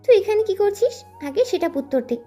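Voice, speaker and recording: A woman's voice: very high-pitched, sing-song, moderate pace, dark tone, soft background music. A woman playing a playful, teasing young female friend in an audio story drama. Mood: playful, mock-defeated.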